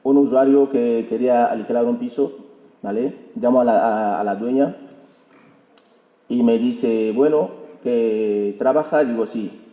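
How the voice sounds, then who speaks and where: A man telling an anecdote to an audience in a halting way, often repeating his words. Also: medium pitch, warm tone. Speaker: intercultural mediator presenting the event. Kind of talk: informal public talk with anecdote. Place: auditorium hall. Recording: echoey hall recording.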